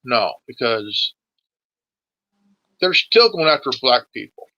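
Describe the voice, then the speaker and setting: A man's voice: mid-range voice, very animated, takes it slowly, bright, forceful tone, no echo, muffled recording. A man in his forties in a casual political discussion, sweary and emphatic, often stumbling and repeating his words. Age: 40s.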